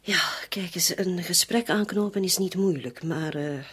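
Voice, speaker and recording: Husky, shrewd worldly hostess. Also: female voice, on the low side, unhurried, fairly bright tone, exaggerated voice. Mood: probing, doubtful. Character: wary, shrewd, worldly hostess and reluctant accomplice. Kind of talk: Dutch-language radio play acting.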